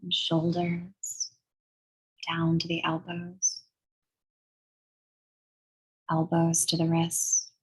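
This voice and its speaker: A woman leading a guided meditation in a soothing, measured, breathy way, leaving long pauses between phrases. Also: on the low side, monotone, low-quality recording. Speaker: guided meditation and yoga teacher.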